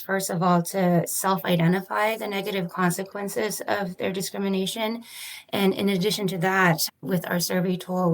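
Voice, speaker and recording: A woman physician and researcher, interviewed as a guest, explaining her study in a careful, methodical and formal way. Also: fairly low pitch. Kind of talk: measured academic explanation.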